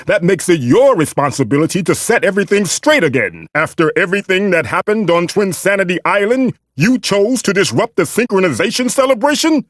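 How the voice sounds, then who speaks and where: A man's voice: high-pitched, very animated, a little fast, few pauses, no room sound, clean studio sound. A man voicing a fussy, theatrical mystical guide in a cartoon video game, formal and earnest in delivery. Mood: grave, reproachful.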